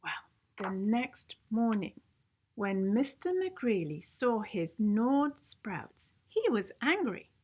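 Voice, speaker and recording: A woman with a fairly high voice reads a children's storybook aloud. She takes her time, pacing it very slowly. Her delivery is theatrical and playful, with repeated sound words and key adjectives stressed for young listeners. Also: big pitch swings, a little mellow, husky. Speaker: children's book reader.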